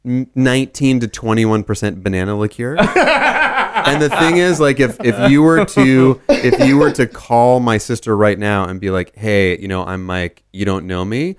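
A man's voice: mid-range voice, expressive, normal speed, no room sound, clean studio sound. A man chatting casually and telling anecdotes in a jokey, conversational way. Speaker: interview guest in the wine and spirits business.

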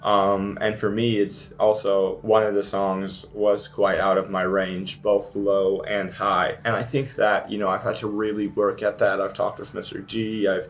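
A man's voice: fairly low pitch, monotone, a little slow, few pauses, soft background music. A young man, a student actor, talking earnestly in a casual panel chat and asking the other cast members questions.

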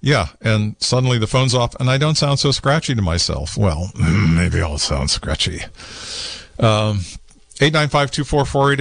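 A man hosting a casual call-in tech show from a small radio studio, talking in a relaxed, rambling way with lots of fillers and restarts. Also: medium pitch, creaky, no room sound. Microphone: studio microphone with headphones.

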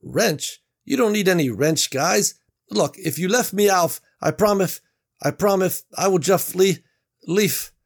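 A man voicing a panicked, bossy male foreman tied to a chair, shouting and pleading. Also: high voice, expressive, measured pace, gravelly, pitched above the performer's own voice, full character voice. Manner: shouting, frantic.

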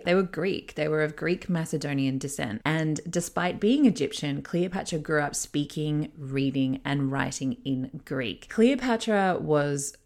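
A woman's voice: low voice, darkish tone. A woman hosting a casual history talk, telling the story with chatty, irreverent asides. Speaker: history podcast host.

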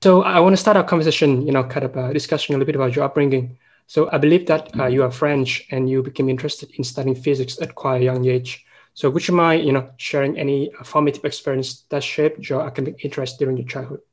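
A man's voice: mid-range voice, steady tone, quick pace. A man hosting a podcast interview, reading the guest intro and asking questions padded with "you know". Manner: reads the intro, then asks with "you know" fillers. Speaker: podcast host.

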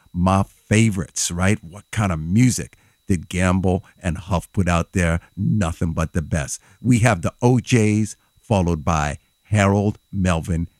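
A man's voice: low-pitched, a little mellow, no echo, studio quality. A man with an allergy-congested voice chatting casually and enthusiastically as the DJ of a music show.

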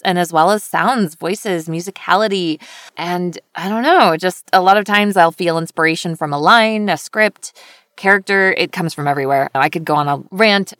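Chatty woman with a low voice. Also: clean tone, no room sound, studio quality. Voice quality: lower voice for a woman. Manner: animated, chatty, laughs often. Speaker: voice actor. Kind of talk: casual conversation.

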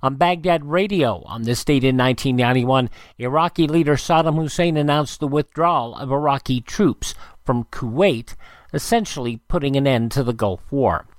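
A man's voice: mid-range voice, normal speed, dry recording. A man hosting a radio show, reading out dated items like an announcer and adding short asides. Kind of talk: radio narration of this day in history.

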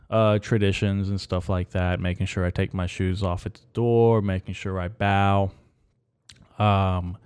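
Male podcast host, Mexico-born, low clear voice, deadpan rambling monologue from his bedroom. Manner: absurd dark jokes delivered straight, frequent ums.

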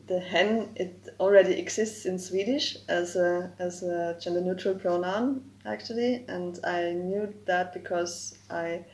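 A woman whose first language is German speaks slowly and carefully in a somewhat low voice, spelling out word forms one by one. She is a guest in a podcast interview, and her voice sits in an echoey room. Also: steady tone, a little mellow. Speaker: guest, language project co-creator. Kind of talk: explaining grammar in a podcast interview.

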